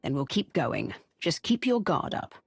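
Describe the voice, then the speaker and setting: A decisive, ruthless dark-side warrior in a sci-fi game. Her voice is rough and fairly low, moving animatedly in pitch. It is recorded dry and she sounds in command. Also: big pitch swings, a little slow, no room sound, exaggerated voice. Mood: wary, decisive. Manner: clipped, commanding. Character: ruthless, decisive female Sith warrior leader. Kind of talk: video game cutscene acting.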